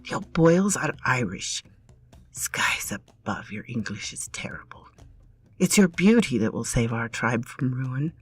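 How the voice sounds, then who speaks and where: A woman voicing a haughty, sharp-tongued sister-in-law who scolds in a breathy, hissing tone. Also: a bass voice, expressive, unhurried, exaggerated voice. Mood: scolding.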